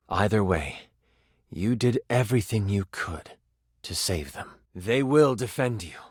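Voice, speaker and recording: An actor playing an excitable, kindly tabletop game master in a video game, narrating theatrically with expressive, dramatic delivery. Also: male voice, mid-range voice, no room sound, studio quality. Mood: somber, sorry. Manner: expressive, dramatic, shifting into asides. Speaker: game master character in a dating sim game.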